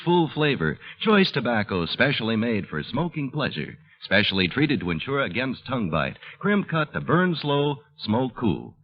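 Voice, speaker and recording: Animated 1940s male radio pitchman, lo-fi. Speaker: commercial announcer. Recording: dull lo-fi old radio.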